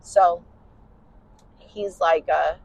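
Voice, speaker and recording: A tired woman with a husky, smoky voice tells a personal story in casual chat while driving in a car at night. She rambles and uses lots of "you know" fillers. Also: lowish voice, steady tone, extremely slow, a little mellow, poor audio. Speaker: podcast host.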